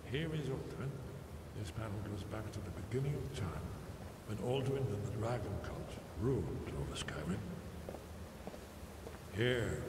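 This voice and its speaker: An actor playing an elderly, scholarly loremaster in a video game, musing and lecturing with drawn-out emphasis. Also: male voice, lowish voice, close to an everyday voice. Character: elderly scholarly male loremaster, absorbed and rambling. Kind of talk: video game character dialogue. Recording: echoey stone hall, background noise.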